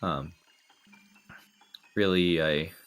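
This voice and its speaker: A man giving live speedrun commentary, explaining routes in a relaxed way with frequent ums and restarts. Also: low-pitched, very slow, clean tone, faint music behind.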